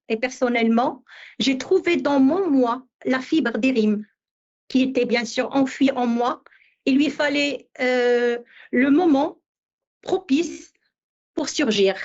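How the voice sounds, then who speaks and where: High voiced woman in her 50s, slow and halting, lots of ums, bit muffled sound. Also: darkish tone. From Morocco. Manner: hesitant, many pauses and fillers. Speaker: poet guest. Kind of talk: interview answers.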